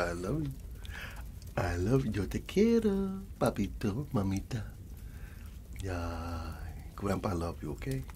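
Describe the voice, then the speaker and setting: A man's voice: lowish voice, a little mellow, slight background noise. A man playing a doting old grandfather who reads a bedtime story in an ASMR roleplay, affectionate and chatty, slipping into endearments. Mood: tender.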